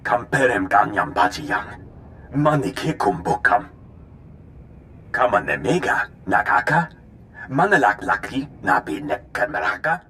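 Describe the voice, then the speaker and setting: A man's voice: mid-range voice, big pitch swings, unhurried, bright, forceful tone, a little hiss or hum. A man voicing an alien in a video game, declaiming in an invented tongue with clipped syllables. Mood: declamatory. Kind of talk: video game cutscene acting.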